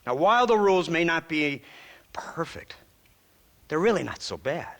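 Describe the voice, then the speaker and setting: Smug American lawman villain, animated sweeping delivery, sharp tone, mocking speeches for a western game. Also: on the high side, moderate pace. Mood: smug lecturing. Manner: mocking, rhetorical speechifying with chuckles. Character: smug, sardonic male government agent, ruthless and theatrical. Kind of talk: western video game cutscene acting.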